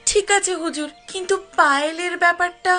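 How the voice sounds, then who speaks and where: A woman's voice: extremely high pitch, a little fast. A woman voicing an emotional young village woman in a cartoon, dramatic and insistent in her speech. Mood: anxious. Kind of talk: cartoon voice acting. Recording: loud music behind the voice.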